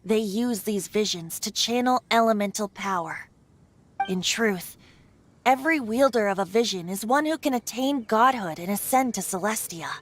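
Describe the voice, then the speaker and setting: A woman plays a youthful male bard in a video game. The voice is light and boyish, medium-pitched for a woman, with an airy, musing, confiding delivery over faint ambience. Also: moderate pace, lightly acted. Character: wistful, playful youthful bard secretly a wind god, male. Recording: faint background noise.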